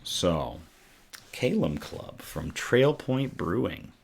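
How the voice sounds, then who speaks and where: A man with a raspy, worn-out voice casually hosting a good-news livestream from his home setup, chatting loosely with many ums and reading out viewer comments. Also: deep voice, big pitch swings, slow. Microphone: desktop USB microphone.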